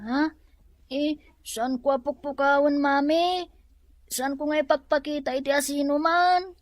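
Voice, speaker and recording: A woman's voice: high voice, fairly even intonation, unhurried, no echo, clean studio sound. A woman with an Ilocano accent playing a pleading young schoolgirl in a radio drama.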